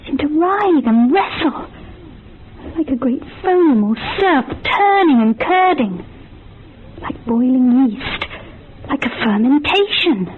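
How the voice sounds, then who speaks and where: An entranced, high-voiced poetic observer. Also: female voice. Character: entranced woman poetically describing a still figure. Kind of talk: radio drama acting. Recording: muffled phone-like sound with faint hiss.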